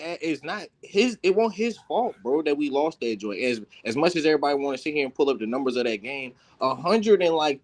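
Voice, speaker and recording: Male sports talk panelist: slangy, insistent, swears freely, slightly high-set voice. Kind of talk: heated casual sports debate.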